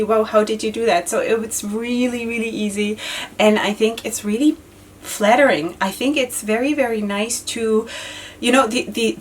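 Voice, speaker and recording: A woman's voice: medium pitch, recorded from a distance. A woman in her thirties with a slightly strained voice, chatting casually through a step-by-step head-wrap tutorial, with lots of fillers and repeated words. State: losing her voice, occasional cough. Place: indoor studio with floral backdrop.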